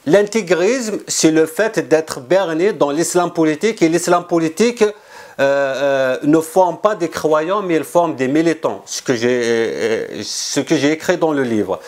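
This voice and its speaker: A man's voice: fairly high pitch, animated, a little slow, darkish tone. A man speaking as an author in an interview, earnest and insistent, often restarting and repeating words. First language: not French. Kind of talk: explanatory interview answers.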